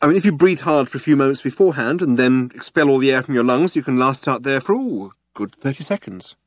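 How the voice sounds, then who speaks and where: A man voicing a quick-witted, breezy alien traveller in a comic audiobook, persuasive and flippant, improvising haltingly. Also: mid-range voice, lively intonation, dry recording, studio quality, voice pushed up, natural voice. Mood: resigned, flippant.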